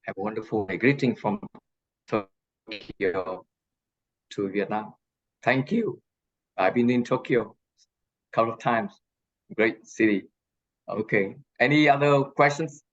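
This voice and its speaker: A man who is not a native English speaker talks slowly through a Q&A on a video call, halting with many fillers and restarts. His voice comes through a somewhat dull, muffled line. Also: lowish voice. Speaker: guest lecturer. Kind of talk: online lecture Q&A.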